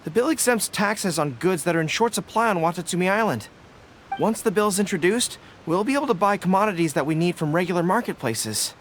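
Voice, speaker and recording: An actor playing an earnest, honourable general in a video game, speaking formally and sincerely. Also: male voice, high voice, lively intonation, a little fast, a bit bright, slight background noise. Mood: troubled. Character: earnest, honourable young male army general.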